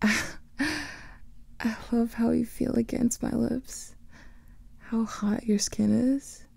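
A young woman voicing a teasing female vampire lover in an ASMR roleplay, whispering softly close to the microphone. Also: fairly high pitch, steady tone, drawn-out words, dry recording, full character voice. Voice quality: breathy whisper with vocal fry.

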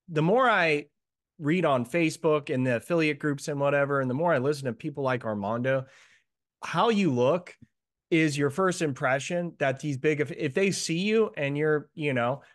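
A man's voice: fairly high pitch, fairly bright tone. A man in his thirties hosting an interview over a video call, upbeat and encouraging as he sums up his guest's points. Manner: upbeat, encouraging, quick recaps. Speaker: host, limo marketing coach. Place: room at home. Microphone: earbuds on a video call.

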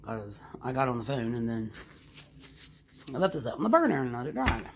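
A middle-aged woman with a twang and a low, gravelly voice that swings up and down a lot. She chats in a folksy way to her viewers while she works in a small home kitchen. The phone recording carries a clear hiss underneath. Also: sing-song, moderate pace. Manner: chatty, folksy, talks to viewers while cooking. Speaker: vlogger. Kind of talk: casual home vlog narration. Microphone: handheld phone camera.

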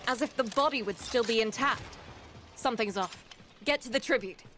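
An actress playing a blunt, irreverent, battle-hardened mission operator in a video game, wry and clipped over a radio. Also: female voice, fairly high pitch, normal speed, music in the background. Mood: wary, brisk.

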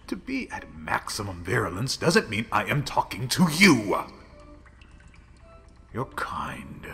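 A man doing a creepy male plague villain, slow drawn-out delivery, swooping sing-song pitch, hushed menacing whispers, faint music behind. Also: creaky, exaggerated voice. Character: obsessive, contemptuous male plague-breeding villain. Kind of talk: villain roleplay audio drama.

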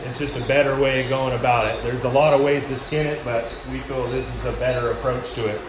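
A man's voice: medium pitch, fairly even intonation, a little fast. A man in his thirties leading a group through a large indoor machine shop, explaining each station as he walks, recorded on a camera a few feet away. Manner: explanatory, points things out to a group. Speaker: shop tour guide. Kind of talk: walking shop tour explanation. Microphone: handheld camera from a few feet away. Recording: echoey shop with loud machine and air noise. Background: machinery and ventilation hum.

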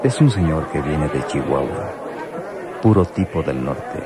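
An actor playing an obliging, sly nightclub waiter in a radio drama, deferential and chuckling, with a Mexican accent. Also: male voice, rumbling low, expressive, a little slow. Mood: sly, amused. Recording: loud music and crowd noise behind.

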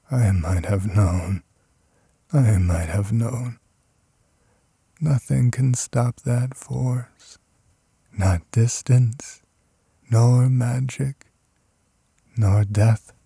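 A man's voice: fairly low pitch, warm tone, slightly rough, dry recording, exaggerated voice. A man voicing a terror-stricken madman in a horror audiobook, raving in broken, repeated phrases. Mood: feeble despair. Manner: feverish, repetitive, broken phrases.

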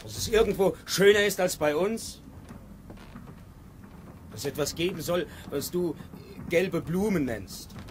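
An actor playing a bitter, scornful grandson in an early 1950s radio play. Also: male voice, a little high, animated, unhurried, long pauses. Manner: scornful, impatient. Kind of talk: 1950s radio drama acting. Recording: hissy old 1950s radio tape.

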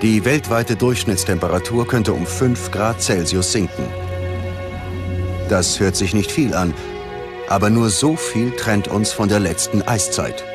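Low-pitched male documentary narrator. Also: a little slow. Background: background music.

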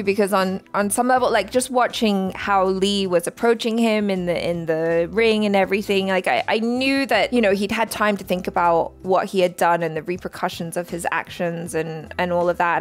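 A woman in casual reaction chat, speaking reflectively and with feeling, in halting phrases. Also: medium pitch. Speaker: reaction show host.

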